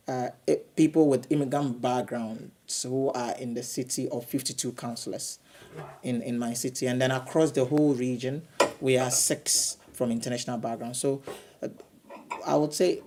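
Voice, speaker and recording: A man's voice: mid-range voice, darkish tone. A man who is a city councillor, answering interview questions hesitantly, often repeating words.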